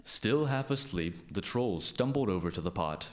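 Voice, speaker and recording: A man's voice: on the low side, animated, normal speed. A man narrating a scripted folk tale as a storyteller.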